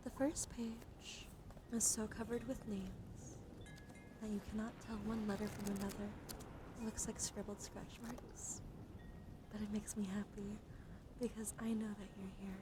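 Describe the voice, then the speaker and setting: A woman live streamer speaking in a soft, soothing, unhurried ASMR style, often slipping into a whisper, with a slightly strained throat, close to the microphone. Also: mid-range voice, little pitch movement, noisy background. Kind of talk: soft ASMR, guided relaxation and chat.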